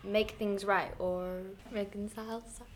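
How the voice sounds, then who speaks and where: A woman's voice: mid-range voice, fairly even intonation, measured pace, a little hiss or hum. A teenage girl hosting a school podcast, upbeat and reading prepared questions. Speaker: student podcast host. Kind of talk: scripted hosting.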